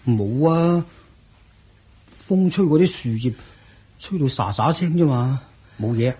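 A loyal, hot-headed servant in a radio drama. He is excitable and scornful, with a high voice that jumps around a lot in an echoey recording. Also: measured pace. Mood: soothing, puzzled. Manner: excitable, urging, colloquial. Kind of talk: Cantonese radio drama acting.

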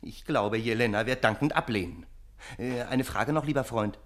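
An actor in a radio play voicing a sensible, concerned friend who questions with dry, exasperated scepticism. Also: male voice, medium pitch, normal speed, fairly bright tone, natural voice. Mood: dry, sceptical. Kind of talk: theatrical radio play acting.

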